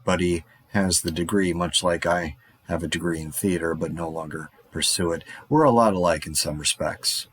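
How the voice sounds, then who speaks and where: A man's voice: deep voice, a bit bright, breathy edge. An actor playing a lone man surviving a zombie apocalypse, talking in a rambling, darkly wry monologue for an audio drama.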